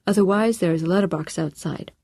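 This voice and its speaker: A woman's voice: fairly low pitch, fast, creaky, mellow, dry recording, clean studio sound. Woman reading a cool, guarded man. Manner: light, unworried tone. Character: calm, guarded American man in France.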